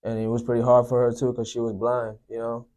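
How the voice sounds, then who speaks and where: A young man from Sri Lanka, a guest telling his life story in a soft, unhurried way, often filling with "you know, man". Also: medium pitch, monotone. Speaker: guest sharing testimony. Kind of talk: personal testimony, casual storytelling.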